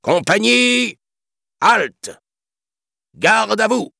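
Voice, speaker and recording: A venerable elephant colonel from a storybook, stern and pompous. The voice is pushed up high and loud, barking in martial style with big swoops. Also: male voice, wildly swooping pitch, slow pace, creaky, no echo, clean studio sound, exaggerated voice. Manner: barking parade-ground commands. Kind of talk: children's storybook reading.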